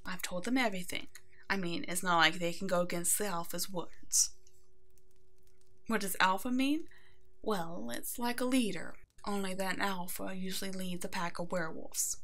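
Kind werewolf pack leader, played by a woman, mid-pitched, soft-spoken and motherly, protective and soothing, for ASMR audio roleplay. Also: fairly even intonation, normal speed, natural voice. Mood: caring, reassuring. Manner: gentle, nurturing, soft-spoken, often slipping into whispers.

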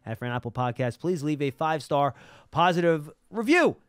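A man's voice: on the high side, animated, brisk, clear, resonant tone, no echo. A middle-aged man hosting a conversational sports show on a close studio microphone. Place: small podcast studio. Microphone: close boom-arm studio microphone.

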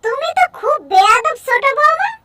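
A woman's voice: very high-pitched, lively intonation, fairly quick, sharp tone, dry recording, faint music behind, exaggerated voice. A woman voicing a scolding, bossy older mother-in-law in a cartoon, nagging sharply and threateningly. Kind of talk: cartoon dub acting.

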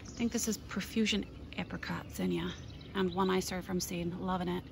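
A woman's voice: lowish voice, steady tone, moderate pace, gravelly, background noise. A woman from Minnesota chatting enthusiastically as she gives a casual tour of her container garden outdoors, filming on a handheld phone. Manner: enthusiastic, chatty, pointing things out. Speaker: gardening vlogger.

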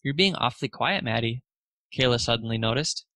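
A man's voice: mid-range voice, lively intonation, a little fast, bright, forceful tone, no room sound, studio quality. A man narrating a children's storybook aloud, expressively lifting his voice for the dialogue.

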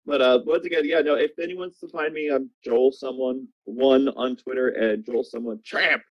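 A man's voice: mid-range voice, fairly even intonation, moderate pace, no echo. A man in his thirties chatting casually as an interview guest over a video call, with slightly dull sound. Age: 30s. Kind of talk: casual chat. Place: small plain room indoors, video call.

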